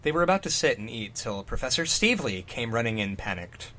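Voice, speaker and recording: A man's voice: mid-range voice, sing-song, fairly quick, bright, forceful tone. A man co-hosting a comic fan fiction reading, breaking off into amused, mocking commentary. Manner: amused, mocking riffs on the text. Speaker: podcast co-host.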